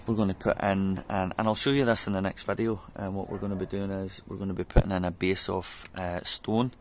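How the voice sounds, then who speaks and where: A man's voice: deep voice, little pitch movement, brisk, slight background noise. A man, chatting loosely with many ums through a how-to vlog in his back garden, picked up by a camera mic. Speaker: home DIY vlogger. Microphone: camera mic on a fixed camera.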